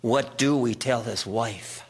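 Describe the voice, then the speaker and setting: An actor playing a formal, earnest Victorian doctor in an audio drama. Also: male voice, mid-range voice, breathy edge. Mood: concerned. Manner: formal, measured wording. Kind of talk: scripted audio drama acting.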